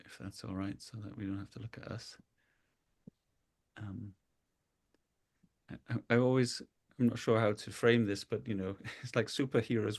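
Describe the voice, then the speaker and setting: A host introducing a guest on a video call, talking in a halting, hesitant way. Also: mid-range voice, darkish tone. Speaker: interview host. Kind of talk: conversational interview.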